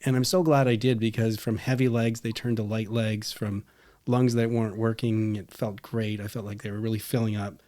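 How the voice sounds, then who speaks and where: A man's voice: on the low side, level tone, moderate pace, mellow. A middle-aged man with a Canadian accent, chatting casually and telling rambling anecdotes as a podcast co-host. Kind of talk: casual chat.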